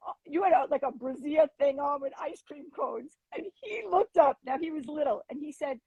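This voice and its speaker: A middle-aged woman, a former schoolteacher, gushing excitedly as she tells stories in a casual livestream chat. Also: high voice, moderate pace, no room sound, highs cut off.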